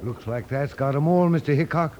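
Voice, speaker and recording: An actor playing a rustic, plain-spoken American homesteader in a 1950s western radio drama. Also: male voice, a little high, fairly quick, a little hiss or hum, lightly acted. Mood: surprised relief. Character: anxious, vengeful male western homesteader. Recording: dull, lo-fi old radio recording.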